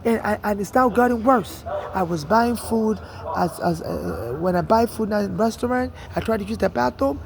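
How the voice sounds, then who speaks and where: Gravelly high-voiced Nigerian man. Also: normal speed, crowd noise. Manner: rambling, frequent restarts and "you know". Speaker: interviewee. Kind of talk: street interview, personal account.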